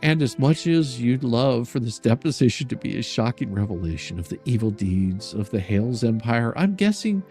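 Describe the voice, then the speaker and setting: A man's voice: mid-range voice, sing-song, moderate pace, few pauses, a little mellow, soft background music. An older man hosting a stream, reading a letter aloud in a sarcastic, mocking and theatrical way. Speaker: streamer and host.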